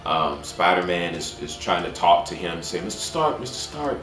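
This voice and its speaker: A man's voice: sits low, big pitch swings, moderate pace, a bit bright. A man talking casually and emphatically as an online movie reviewer, in informal fan language with some mild swearing. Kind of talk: casual spoiler-filled movie review monologue. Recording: roomy echo with faint music behind. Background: faint music.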